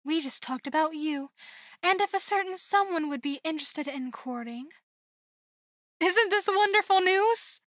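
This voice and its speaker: An actress playing a gentle middle-aged queen and mother in an audio drama, affectionate and courteous. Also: extremely high pitch, lively intonation, a little slow, no room sound, studio quality, full character voice. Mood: excited.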